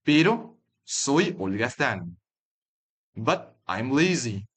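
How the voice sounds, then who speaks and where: Slow, husky man teaching a language lesson. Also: medium pitch, very animated, fairly bright tone. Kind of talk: slow repeat-after-me lesson narration.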